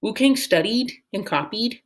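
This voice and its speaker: Expressive woman reading a story aloud. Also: fairly high pitch, unhurried. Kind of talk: expressive read-aloud narration.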